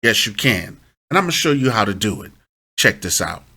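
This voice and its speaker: A man's voice: fairly low pitch, sing-song, brisk, vocal fry, bright, forceful tone, breathy edge. A man hosting an informal step-by-step tutorial, instructing and often checking in with short tag questions. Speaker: host of a music production tutorial.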